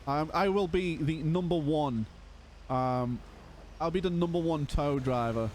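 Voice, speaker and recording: Hoarse young man, chatty streamer. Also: a little high, a little slow, darkish tone, slight background noise. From Liverpool, England. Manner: chatty, laughs often, calls people brother. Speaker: streamer playing a roleplay game. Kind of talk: casual live-stream chat.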